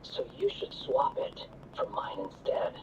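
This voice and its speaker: A man's voice: measured pace, in a whisper, close to an everyday voice. A man voicing a stubborn, condescending scholar in a video game, blunt and matter-of-fact. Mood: coolly insistent. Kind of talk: scripted video game voice acting. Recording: distant, muffled game audio with noise.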